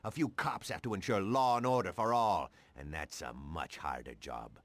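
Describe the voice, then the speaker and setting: An actor playing a cynical, righteous police detective in a video game, sarcastic and challenging. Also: male voice, low voice, moderate pace, dry recording. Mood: scornful.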